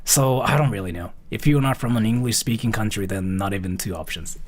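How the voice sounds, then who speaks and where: A man chatting casually on a livestream as he comments, rambling and often repeating himself. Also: on the low side. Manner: rambling, repeats phrases, halting. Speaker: live streamer reacting to a video.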